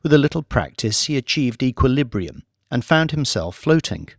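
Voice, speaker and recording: Man, audiobook narrator, dramatic scripted reading, lively varied intonation, mid pitch, dry close recording. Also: moderate pace, no echo.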